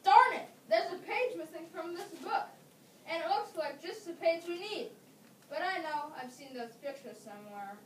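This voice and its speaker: A resourceful, excitable schoolboy, played by a female reader, speaking high and emphatically. It is an amateur readers' theater reading, picked up from a distance in an echoey room. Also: moderate pace. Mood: excited. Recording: distant mic in echoey, slightly noisy room.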